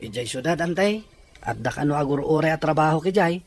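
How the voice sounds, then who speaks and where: A man's voice: on the high side, expressive, brisk, no room sound, faint music behind. A man playing a respectful, earnest young rural man who longs to study, pleading in an Ilocano audio drama.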